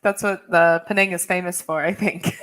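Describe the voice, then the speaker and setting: A woman's voice: fairly low pitch, fairly even intonation, normal speed, a bit bright. A woman from California with an American accent, an English tutor chatting casually and laughing often. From California, USA. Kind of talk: casual video-call chat. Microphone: video call.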